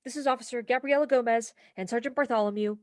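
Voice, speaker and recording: A young woman acting in a comic radio play as an earnest, headstrong police officer, brisk and argumentative. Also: fairly high pitch, a little mellow, no room sound, clean studio sound, lightly acted. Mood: brisk, official. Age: young adult.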